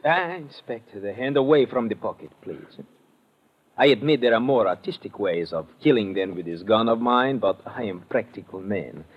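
A man's voice: fairly low pitch, expressive, normal speed, breathy edge. An actor playing a suave, menacing male criminal mastermind with a formal, mockingly courteous manner in an old radio crime drama. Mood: smug, menacing. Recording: dull lo-fi old radio recording.

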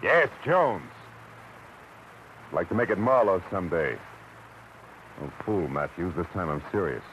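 Very deep hard-boiled private eye. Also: male voice, expressive, a little slow, a little hiss or hum. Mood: firm, serious. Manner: hard-boiled, slangy, clipped. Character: tough, world-weary male private eye in love. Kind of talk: 1940s-50s radio detective drama acting with first-person narration. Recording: dull vintage radio broadcast, loud music.

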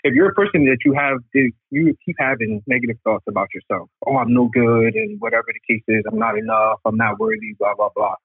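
A man calling in as a guest, calmly explaining spiritual ideas as a teacher of martial arts and metaphysics. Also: medium pitch, fairly quick, hardly any pauses, breathy edge, no room sound. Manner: calm, steady, explanatory. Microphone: phone call. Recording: tinny phone line.

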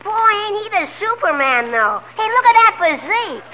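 A woman playing an excitable, gossipy, admiring young pool attendant in a 1940s radio comedy. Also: very high voice, normal speed. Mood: eager, admiring. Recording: noisy vintage radio recording.

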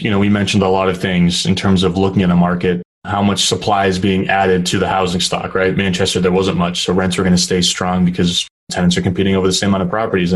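A young man from New Hampshire, a real estate investor being interviewed, talking casually and thoughtfully with frequent restarts and fillers. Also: low voice. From New Hampshire, USA.

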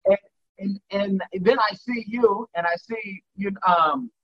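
A man's voice: high-pitched, slow, muffled recording. A man hosting an interview from his home kitchen, rambling and repeating words as he talks eagerly. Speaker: interview host. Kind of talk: casual interview chat.

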